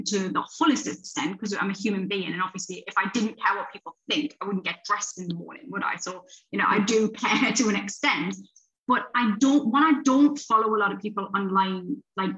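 A woman in her late thirties talks loosely as a podcast guest, circling back and restarting her sentences as her thoughts unfold. Her pitch sits in a plain middle range. The recording sounds a little dull and lo-fi. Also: a little fast, fairly bright tone. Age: 30s. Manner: rambling, restarts often, laughs while talking. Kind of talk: casual conversation.

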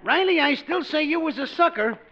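An actor playing a nagging, scornful working-class buddy who scolds and needles in a 1940s radio sitcom. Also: male voice, extremely high pitch, expressive, a little fast. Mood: scornful, goading. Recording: muffled old radio broadcast, music bleed.

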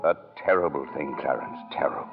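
Very deep, solemn heavenly storyteller. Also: male voice, fairly quick. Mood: grave. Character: solemn heavenly senior angel, patient storyteller. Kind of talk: 1940s radio drama narration. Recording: muffled old radio broadcast, music behind.

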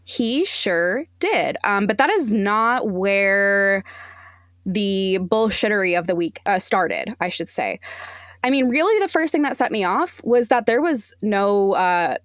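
Female podcast guest from Arizona, average pitch, very dry sound, wry, opinionated and casually sweary. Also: lively intonation, a little slow, a bit bright, no echo. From Arizona, United States. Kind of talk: casual interview chat.

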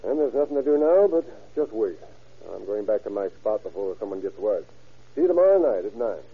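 A man's voice: on the low side, sing-song, a little fast. A man in an old radio drama playing a tough, cool undercover narcotics detective, clipped and hard-boiled. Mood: brisk, commanding. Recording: muffled, noisy vintage radio recording.

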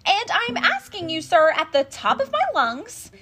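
A woman reading a storybook, voicing a small, indignant, bossy old creature with a sharp, loud, declamatory delivery. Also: very high-pitched, huge pitch swings, moderate pace, faint music behind, pitched above the performer's own voice, heavily acted. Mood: indignant, demanding.

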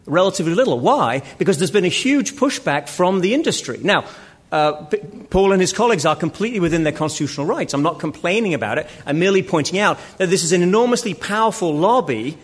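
A man arguing as a debate panelist, halting and restarting, then pressing his point emphatically. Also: high-pitched, animated, husky. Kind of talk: debate argument.

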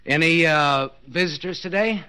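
An actor playing a desperate, jealous husband in a 1940s radio suspense play, halting and intense, repeating his words. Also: on the high side, pushed and bright, clean tone. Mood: probing suspicion. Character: desperate, jealous husband, a clock repairman trapped bound in cellar. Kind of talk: 1940s radio suspense acting, inner monologue. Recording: noisy vintage 1940s radio recording.

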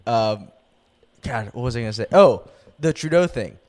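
A man's voice: medium pitch, expressive, normal speed, a little mellow. A young man co-hosting a podcast in casual chat, joking and laughing a lot, slipping into mock voices. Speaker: podcast co-host.

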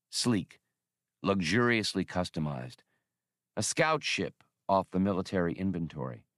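Slow, low-voiced man narrating an adventure. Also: dry recording, studio quality. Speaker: audiobook narrator. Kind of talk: scripted narration of a science fiction adventure novel.

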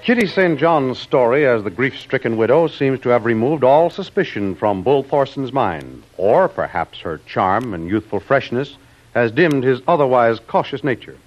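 Dramatic male narrator, lively old radio delivery. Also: mid-range voice, normal speed, faint music behind. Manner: formal, dramatic. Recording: dull old radio recording.

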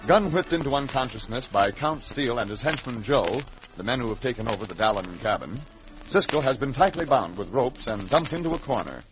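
Over music, a man acts as a vintage radio announcer narrating an adventure serial. His delivery is formal and dramatic, with lively swings in a mid-pitched voice. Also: fairly quick, natural voice, low-quality recording. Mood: suspenseful. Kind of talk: old-time radio announcing, scripted narration.

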